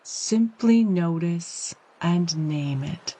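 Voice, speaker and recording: A woman guiding a yoga nidra meditation, speaking in a calm, gentle, soothing way with measured phrases and long pauses. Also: lowish voice, slightly rough. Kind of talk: guided meditation, slow and soothing instruction.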